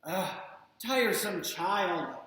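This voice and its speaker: A woman's voice: lowish voice, animated, drawn-out words, a bit bright, exaggerated voice. A woman voicing a stern, proud mother who scolds in formal speech. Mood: stern scolding. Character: stern, proud mother, impatient with her younger son. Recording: echoey room.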